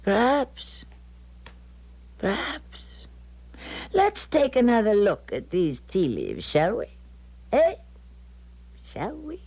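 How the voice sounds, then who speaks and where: An aging, weary fortune teller in a vintage radio play. Her delivery is slow and theatrical, with sweeping, lively swings of pitch and halting doubt. The recording is dull and old. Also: slightly rough, heavily acted. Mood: astonished. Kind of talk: 1970s radio drama acting.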